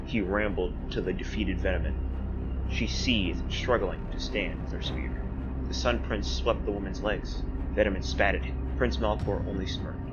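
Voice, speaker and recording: A man's voice: fairly low pitch, moderate pace, highs cut off, over loud music. A man narrating a scripted fantasy audio drama in a portentous, theatrical manner.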